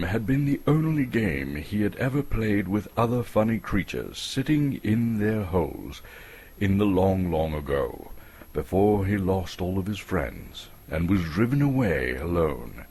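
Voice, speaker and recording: A man's voice: low-pitched, sing-song, measured pace, darkish tone, breathy edge. A man from Canada giving a dramatic, scripted reading of a storybook as its narrator.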